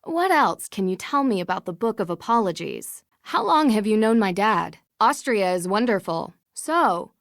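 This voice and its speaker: Give this game heroine a youthful, inquisitive spirit. She is a courteous, plucky female detective with an ordinary mid-range pitch. The sound is very dry and close. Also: sing-song, moderate pace, vocal fry, hardly any pauses, no room sound. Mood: friendly, curious. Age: young adult. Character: curious young American woman detective, polite and plucky. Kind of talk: scripted adventure game dialogue.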